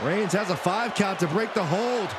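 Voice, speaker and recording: A man commentating on a wrestling match in an animated sportscasting style. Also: high voice, normal speed. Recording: very noisy arena crowd. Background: roaring crowd, slams.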